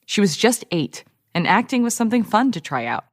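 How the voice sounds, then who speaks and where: An actress playing a wry, nostalgic radio journalist, narrating a scripted audio drama podcast. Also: female voice, mid-range voice, sing-song, a little fast, no room sound, clean studio sound.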